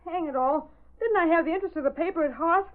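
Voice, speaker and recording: A woman's voice: very high-pitched, fast talker. A woman acting an earnest, headstrong newspaper office worker in an old radio drama, with emotive delivery. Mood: remorseful. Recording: muffled narrow-band old radio recording.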